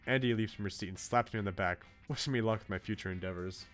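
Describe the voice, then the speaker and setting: A man streaming a game, reading a visual novel aloud with casual, animated, joking commentary. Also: fairly low pitch, fast, soft background music.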